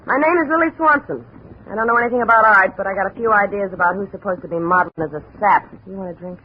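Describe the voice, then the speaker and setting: A woman's voice: medium pitch, fast talker, close to an everyday voice. A woman playing a tough, sardonic American burlesque performer with a grudge, in a 1950s radio detective drama. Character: brassy, jaded female burlesque performer, bitter scorned girlfriend. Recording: dull, hissy old radio recording.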